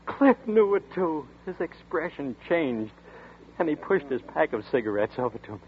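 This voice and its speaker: An actor playing a nervous young man who narrates the story in a quiet, shaken voice, in 1940s radio acting. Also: a little high, very animated, moderate pace. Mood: uneasy. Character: nervous young male narrator and detective's companion. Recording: tinny noisy 1940s radio broadcast.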